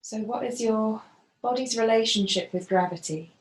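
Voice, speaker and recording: Woman guiding meditation in echoey room. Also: a little high. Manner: gentle, unhurried guiding with pauses. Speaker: yoga facilitator. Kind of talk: guided body scan meditation instruction. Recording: roomy, echoing voice.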